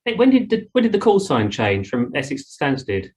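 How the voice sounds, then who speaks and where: A man's voice: fairly high pitch, wildly swooping pitch, normal speed, darkish tone, slightly rough. A man with a British accent hosting an informal online group session in a colloquial, chatty way. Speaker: host of an online ground school session.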